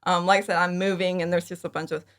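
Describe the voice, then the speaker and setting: A woman's voice: on the low side, little pitch movement, quick pace. Chatty, enthusiastic woman. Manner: enthusiastic, giggly, informal. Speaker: podcast guest, fan audio drama creator. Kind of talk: casual chat, interview answers.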